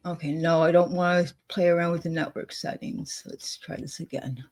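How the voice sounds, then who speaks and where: A woman co-hosting a casual chat, thinking aloud as she clicks through software at her desk, with frequent laughs. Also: fairly low pitch, steady tone, normal speed. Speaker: co-host demonstrating software. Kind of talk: casual screen-share chat.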